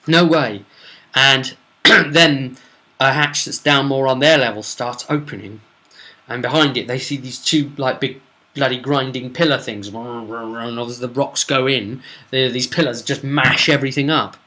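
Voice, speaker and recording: A man with a mid-pitched, sharp and bright voice recounts a tabletop role-playing adventure. He speaks as the game master, in an informal storytelling style. He leans on dramatic emphasis to carry the action. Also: fairly even intonation, unhurried. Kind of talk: casual recap narration of a tabletop role-playing session.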